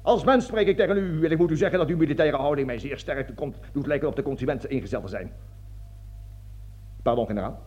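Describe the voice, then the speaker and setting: A man's voice: a little high, fast, darkish tone, slight background noise, natural voice. A man acting a panicking, cowardly, self-justifying army colonel in a radio drama, blustering, stammering and pleading as he repeats himself. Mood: flustered pleading. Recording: dull lo-fi old radio recording.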